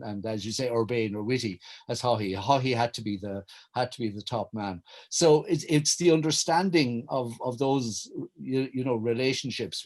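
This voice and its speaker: An Irish man in middle age talks reflectively as a panel guest. His voice sits at a medium pitch, and he is articulate but halting, repeating small words and restarting before he lands on a full phrase. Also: darkish tone. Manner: hesitant, stammering restarts, wordy and articulate. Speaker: writer and director, panel guest. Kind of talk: reflective conversation recounting memories.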